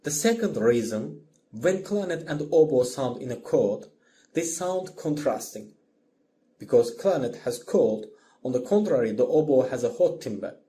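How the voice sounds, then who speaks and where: A man giving a scripted lecture as a voice-over, in a measured, explanatory manner. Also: mid-range voice, expressive, mellow, a little hiss or hum. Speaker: music lecturer.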